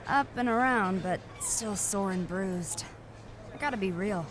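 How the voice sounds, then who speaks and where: A woman's voice: medium pitch, unhurried, background noise, lightly acted. A woman voicing a guarded, determined young female wrestler in a video game cutscene. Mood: weary, frank.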